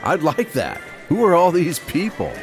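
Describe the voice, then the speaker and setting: A man's voice: fairly high pitch, huge pitch swings, normal speed, music in the background. A man acting a chatty, blunt, folksy fellow who tells his own adventure in a radio drama. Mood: friendly. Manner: folksy, tactless.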